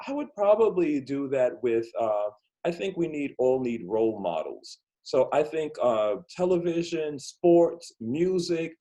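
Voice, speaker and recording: A man's voice: on the high side, big pitch swings, measured pace, mellow, dull audio. Earnest man hosting a kids' story interview. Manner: earnest, thinking aloud with fillers and restarts. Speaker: host.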